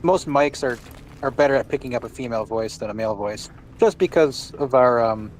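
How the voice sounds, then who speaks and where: A man with an ordinary mid-range voice chats casually. He speaks haltingly and often repeats a word before he gets to the point. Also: mid-range voice, slight background noise, rough recording. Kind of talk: casual chat.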